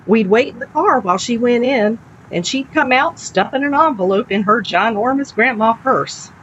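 A woman's voice: on the high side, animated, moderate pace, a bit bright, voice pushed up. A woman reading a story, playing a good-humoured, folksy female cab driver telling an anecdote. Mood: chatty. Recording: dull lo-fi audio, background noise.

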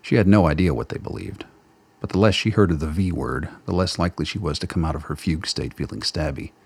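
Deep-voiced male narrator of an audio drama. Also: quick pace, vocal fry, darkish tone, husky. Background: music bed.

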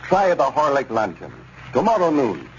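A man with an American accent reading a scripted sponsor message as a 1930s radio announcer, in a polished, measured way. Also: mid-range voice, huge pitch swings. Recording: muffled, noisy 1930s radio recording.

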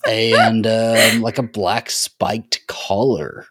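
A man's voice: on the low side, fairly even intonation, extremely slow, a bit bright, slightly rough. A man on a podcast panel chatting casually, thinking aloud in hesitant fragments. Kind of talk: casual chat.

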